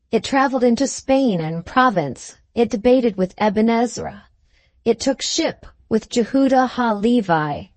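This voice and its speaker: A woman's voice: mid-range voice, darkish tone, no room sound, close to an everyday voice. A woman voicing a fervent, visionary male scholar in an audiobook, speaking in solemn, lofty oratory. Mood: fervent, exalted. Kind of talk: literary audiobook character reading.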